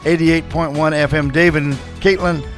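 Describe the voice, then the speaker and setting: A man's voice: on the high side, steady tone, moderate pace, faint music behind. A man hosting a radio morning show, joking and chatting with his guests. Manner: jokey, teasing, talkative. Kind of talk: casual radio chat. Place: radio studio.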